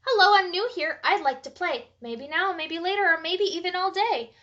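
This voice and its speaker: Lady doing a little peanut butter boy from a picture book, high and bright, singsong, super eager to make friends. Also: moderate pace, highs cut off. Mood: eager, hopeful.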